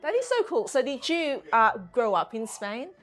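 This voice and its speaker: A woman hosts a group talk with a bright, high voice. Her questions are upbeat and eager. A little music plays softly behind her. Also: big pitch swings, moderate pace. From Australia. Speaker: host. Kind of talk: hosting a sit-down group interview.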